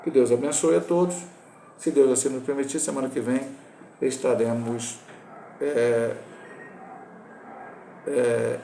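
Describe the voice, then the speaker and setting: A Brazilian man in his sixties, a pastor teaching a Bible lesson, talking in a halting way with restarts and self-corrections. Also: medium pitch, warm tone, echoey room, slight background noise, low-quality recording. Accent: Brazilian Portuguese. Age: 60s.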